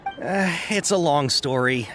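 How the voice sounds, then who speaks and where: Caravan merchant, apologetic, hesitant, raised pitch, expressive, music behind, anime game. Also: male voice, slow pace. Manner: hesitant, sighing, explanatory. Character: worried male caravan merchant, apologetic and reluctant.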